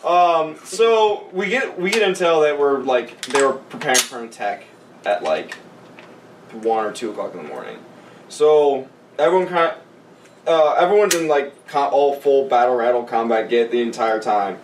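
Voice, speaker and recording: A man's voice: mid-range voice, animated, normal speed. A man casually telling a sweary, rambling story among friends, mimicking other people's dialogue as he goes. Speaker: podcast co-host and army veteran telling a story. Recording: roomy echo, distant mic, faint noise.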